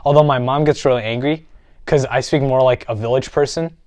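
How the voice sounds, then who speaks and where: Young American guy, casual co-host, animated, mid-pitched, dry close mic. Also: fairly quick, no room sound. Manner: animated, laughs easily. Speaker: podcast co-host. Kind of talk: casual chat.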